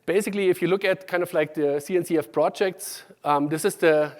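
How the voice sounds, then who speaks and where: A man with a German accent gives a technical talk at a conference, pausing often with ums and starting sentences over. His voice sits a little high for a man. The sound carries the long reverb of a large hall. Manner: halting, many ums and restarts. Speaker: conference speaker.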